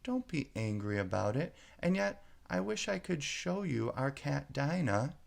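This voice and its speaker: A man's voice: on the high side, sing-song, a little slow, creaky, voice pushed up, exaggerated voice. A man voicing a small, earnest, polite and fretful girl in a storybook reading. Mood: apologetic, soothing. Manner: earnest, wondering, apologetic.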